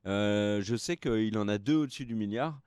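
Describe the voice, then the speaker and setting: A man's voice: lowish voice, moderate pace, darkish tone, clean tone, dry recording, clean studio sound. A tired young man co-hosting a live film news show, rambling through the news with lots of hesitations. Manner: rambling, hesitant with many fillers. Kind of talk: casual live-stream chat.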